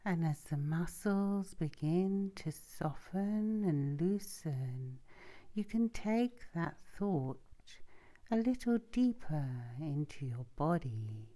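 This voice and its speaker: Female hypnosis guide for sleep ASMR. Dark, relaxed tone, very unhurried, soothing and breathy, near a whisper. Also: fairly low pitch. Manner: soothing, gentle, drawn-out phrasing. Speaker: hypnosis session host.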